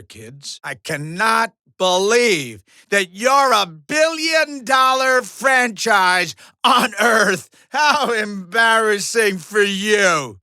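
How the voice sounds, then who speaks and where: A man's voice: high voice, bright, forceful tone, no echo, studio quality. A man voicing a sleazy alien TV showman villain in a video game, announcing arena fights with pompous, theatrical hype and snide asides. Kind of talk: theatrical game-show commentary, video game acting.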